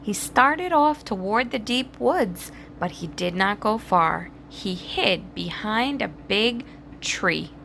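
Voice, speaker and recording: A woman narrates a children's storybook expressively. She takes her time, with wide swings in pitch and a bit of creak in the voice, over faint background noise. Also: expressive, slow pace, a bit bright. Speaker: storybook reader. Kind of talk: children's read-aloud narration.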